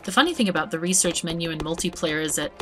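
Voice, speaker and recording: A woman hosting a multiplayer game stream, chatting in a relaxed way and often restarting her words. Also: lowish voice, fast talker, over music. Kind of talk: casual chat while playing.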